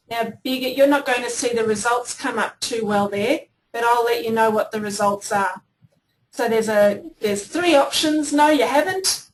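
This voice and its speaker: A woman hosting an online webinar, introducing the speaker and running a poll in a friendly, orderly way. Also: a little high. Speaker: webinar host. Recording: distant mic with faint noise.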